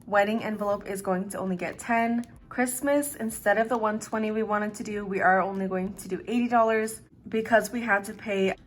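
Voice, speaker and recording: A young woman in her twenties vlogging casually to camera, her voice a little raspy from staying up late, talking in a relaxed, chatty way with plenty of "like" and "literally". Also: medium pitch, a little hiss or hum. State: slightly raspy from lack of sleep. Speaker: budgeting vlogger.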